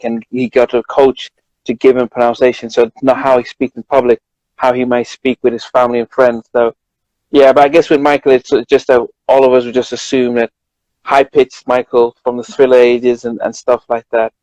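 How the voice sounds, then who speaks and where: A man's voice: mid-range voice, level tone, moderate pace, darkish tone, no room sound, muffled recording. British male co-host, mid-range voice, dry sound, informal discursive chat. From Britain. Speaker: co-host, journalist. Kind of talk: casual chat.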